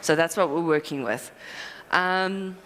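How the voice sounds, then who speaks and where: A woman giving a live conference talk, rambling and self-deprecating with quick asides and apologies. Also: on the low side, a bit bright, a little hiss or hum. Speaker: conference speaker. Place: conference hall. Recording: hall reverb.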